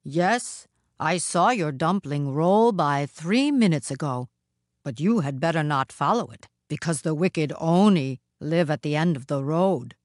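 A woman's voice: low voice, expressive, unhurried, darkish tone, no room sound, clean studio sound. A woman playing a stern, protective statue of a god in a storybook, speaking firmly and solemnly. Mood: stern, warning.

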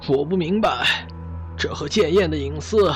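A man's voice: on the high side, normal speed, soft background music, lightly acted. An actor playing an agitated, protective man in a radio drama, insistent and indignant as he challenges the police. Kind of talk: broadcast drama acting.

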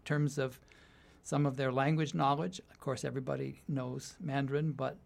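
A man's voice: mid-range voice, flat delivery, moderate pace, vocal fry. A man from the United States, a university writing instructor, giving thoughtful interview answers that often restart mid-sentence and break into light laughs.

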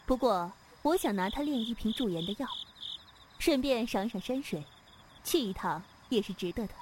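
A woman's voice: a little high, lively intonation, moderate pace, a little mellow, slight background noise, close to an everyday voice. A woman playing a proud, capricious female martial sect leader in a Chinese wuxia radio drama, haughty and teasing. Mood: teasing, softened.

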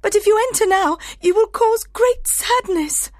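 Actress as a very high-pitched, anxious wife. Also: female voice, moderate pace, dry recording. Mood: pleading. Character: frightened, pleading wife hiding a secret. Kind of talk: dramatised audiobook acting.

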